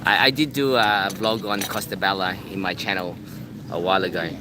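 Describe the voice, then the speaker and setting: A man's voice: low voice, fairly even intonation, brisk. A man chatting casually on a live stream from a beachside restaurant, often repeating words as he restarts his sentences. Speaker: travel vlogger. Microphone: phone live-streaming. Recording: outdoor traffic noise. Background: street and vehicle noise.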